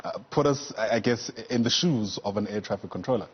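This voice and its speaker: Low, husky male interviewer. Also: fairly quick, muffled recording. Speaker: TV news interviewer. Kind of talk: conversational news interview. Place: TV news studio.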